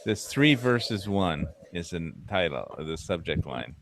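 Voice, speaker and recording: A man chatting over a headset, making dry deadpan quips. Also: low-pitched, unhurried, a little hiss or hum. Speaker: lobby chat participant. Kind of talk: casual banter.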